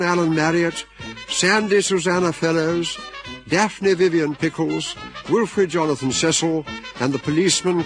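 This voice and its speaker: A man delivers a scripted radio credit announcement. His voice is a little high, and music plays clearly underneath it.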